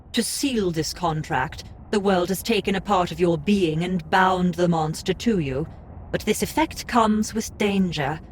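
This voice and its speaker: A woman voicing a cool, formal game system interface in an audiobook, announcing its notices in a measured way. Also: on the low side, slight background noise, natural voice.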